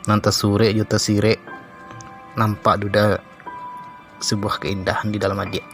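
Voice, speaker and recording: A man's voice: fairly low pitch, monotone, measured pace. Male, Minangkabau accent, acting doting cartoon husband, poetic sweet talk, music underneath. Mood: admiring, tender. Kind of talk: comic cartoon dialogue.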